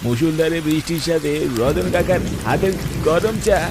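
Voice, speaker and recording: A man's voice: a little high, moderate pace, natural voice. A man voicing an eager young villager in a cartoon, exclaiming as he chats at a tea stall. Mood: eager, delighted. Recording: noisy rain ambience behind voice.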